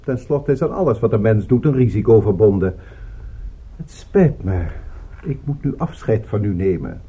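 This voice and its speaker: An actor playing a courteous, coolly polite villain in a radio drama, speaking formally with smooth regret. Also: male voice, lowish voice, lively intonation, moderate pace, dark tone. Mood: formal, regretful.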